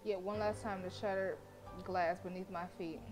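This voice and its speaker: A woman game streamer reading story narration aloud in an expressive, dramatic way, with casual delivery between passages. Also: lowish voice, moderate pace. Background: game music.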